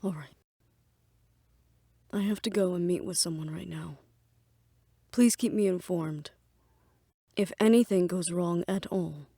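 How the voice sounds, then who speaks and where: A woman's voice: fairly low pitch, a little slow, gravelly, pauses often, mellow, slightly rough, dry recording, studio quality, full character voice. A woman playing a stern, protective department head and hunter in an audio drama, firm and authoritative. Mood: firm, concerned. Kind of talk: dramatic audio roleplay acting.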